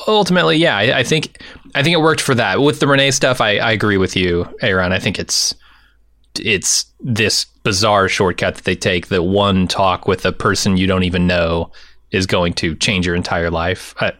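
A man's voice: low voice, moderate pace, sharp tone, no echo, studio quality. A man co-hosting a podcast, reasoning earnestly through a casual analysis and often restarting his sentences. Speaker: podcast co-host.

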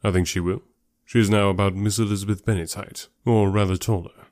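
A man voicing a proud, reserved young gentleman in an audiobook, grave, formal and terse. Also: fairly low pitch, fairly even intonation, fairly quick, no echo, clean studio sound, lightly acted. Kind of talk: classic novel audiobook character voice.

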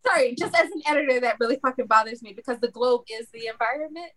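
A woman's voice: on the high side, quick pace, a bit bright. A woman in casual panel banter, talking in a blunt, exasperated, sweary manner. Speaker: editor on a panel.

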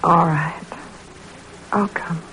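A woman's voice: fairly low pitch, fairly even intonation, drawn-out words, fairly bright tone, slight background noise, poor audio. An actress playing a worn-out, pleading mother of a sick boy in a 1940s radio drama. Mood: braced, anxious. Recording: old radio recording over music.

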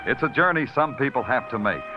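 A man's voice: mid-range voice, lively intonation, fairly quick, close to an everyday voice. An actor playing a terse, hard-bitten male police detective who also narrates in a brooding, poetic way, in 1940s radio acting. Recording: dull old radio broadcast with music.

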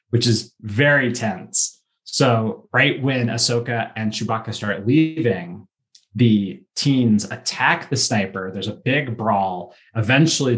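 A man chatting through a casual plot recap as a podcast co-host, telling it in an animated way with acted-out dialogue. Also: mid-range voice.

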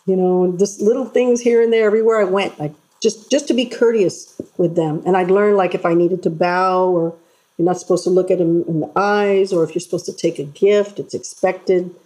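Woman interview guest, earnest storyteller, husky and warm in tone. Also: on the low side, normal speed, poor audio. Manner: earnest, animated storytelling with acted-out voices. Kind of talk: casual interview chat.

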